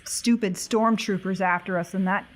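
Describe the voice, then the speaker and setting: Woman playing a tabletop game, medium pitch. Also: steady tone, normal speed. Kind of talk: casual improvised roleplay narration.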